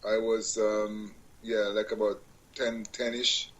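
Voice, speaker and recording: A man's voice: fairly low pitch, little pitch movement, slow, a bit bright, low-quality recording. A man from Jamaica, a guest on a radio show, telling his life story in a rambling way, correcting himself and laughing at his own jokes. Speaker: guest musician. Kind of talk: casual interview conversation.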